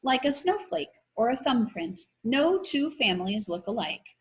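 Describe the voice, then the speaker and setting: A woman voicing a kindly, whimsical magic shop owner and father from a children's book, theatrical and gently teasing. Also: a little high, big pitch swings, measured pace. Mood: reassuring.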